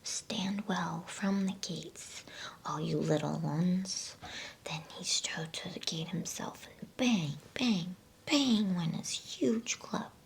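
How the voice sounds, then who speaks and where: A woman reading a bedtime story as ASMR narration in a breathy whisper. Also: low voice, a bit bright, rough recording.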